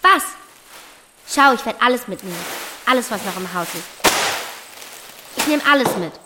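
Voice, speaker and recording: An actress playing a defiant, pushy thirteen-year-old girl in a German radio play, quick to snap back. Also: on the high side, expressive, very noisy, lightly acted. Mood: fed up, defiant.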